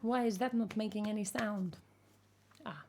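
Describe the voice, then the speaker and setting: A woman from England with a croaky voice, chatting casually with her live-stream chat as a singer-songwriter and teasing herself as she goes. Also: mid-range voice, moderate pace, a little mellow, a little hiss or hum. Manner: chatty, self-teasing, hums melody snippets.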